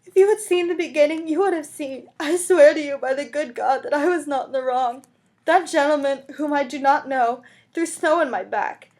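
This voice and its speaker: A desperate, impoverished mother played by a woman reading a novel aloud pleads for mercy. Her voice is high and agitated, close to sobbing, in a dramatic storybook delivery. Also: normal speed, a little mellow, natural voice. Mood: frantic pleading. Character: desperate destitute mother begging tearfully for mercy.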